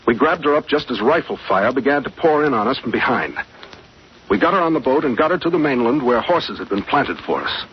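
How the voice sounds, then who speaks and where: An actor playing a confident, level-headed adventurer captain in a 1940s radio drama. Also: male voice, medium pitch, sing-song, fairly quick, husky. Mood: grave narration. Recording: muffled, noisy old radio recording.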